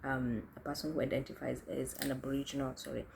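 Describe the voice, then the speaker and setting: A young woman from Kenya talks through her own experiences with a low, mellow voice. She is thoughtful and often restarts her sentences as she works out what to say. A faint ambient hiss sits behind her. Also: little pitch movement, fast, gravelly. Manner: thoughtful, self-correcting, frequent restarts and fillers. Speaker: speaker sharing experiences. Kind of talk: reflective talk to camera.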